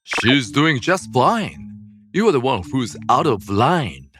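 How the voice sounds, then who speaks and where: A man's voice: medium pitch, sing-song, slow, fairly bright tone, soft background music. A man playing a stern, protective older father who scolds firmly in a dubbed story. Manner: firm, scolding.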